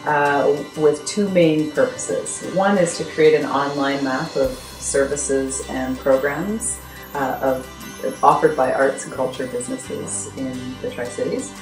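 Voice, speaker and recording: A woman's voice: a bass voice, takes it slowly. A woman speaking earnestly and emphatically as a project coordinator in an interview. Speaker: youth arts project coordinator. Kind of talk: interview to camera. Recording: roomy, faint music behind. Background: music.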